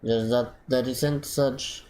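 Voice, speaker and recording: A man reading video game dialogue aloud as he plays, halting and repeating phrases as he goes. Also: mid-range voice, steady tone, a little mellow. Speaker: gaming streamer. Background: game music.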